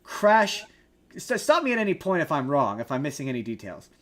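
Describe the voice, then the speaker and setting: High-pitched man in rambling, emphatic debate. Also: huge pitch swings, a little fast, fairly bright tone. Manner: emphatic, rambling, argumentative explainer. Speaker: podcast co-host. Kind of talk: casual opinionated film discussion.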